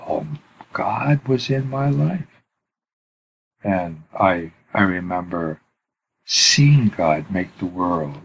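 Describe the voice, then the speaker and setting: Old man in his 80s, priest, slow pausing storyteller, gets soft and near whispery at times. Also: lowish voice, slight background noise, rough recording. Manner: unhurried, pausing often, swells and drops to near whisper. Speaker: interview guest, priest.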